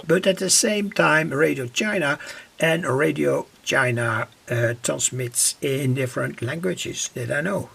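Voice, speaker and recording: A man with a Dutch accent and a creaky, gravelly voice, giving a casual, halting, unscripted demonstration as an electronics hobbyist. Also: a little high, background noise. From Netherlands. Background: shortwave radio playing stations.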